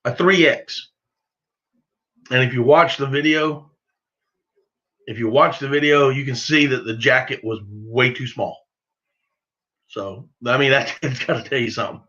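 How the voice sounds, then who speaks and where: A man's voice: mid-range voice, measured pace, long pauses, pushed and bright, muffled recording. A middle-aged man with a Southern US accent chatting casually on a livestream, rambling in a folksy, self-deprecating way. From southern United States. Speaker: livestream host of a duck hunting channel. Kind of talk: casual livestream chat, answering viewers.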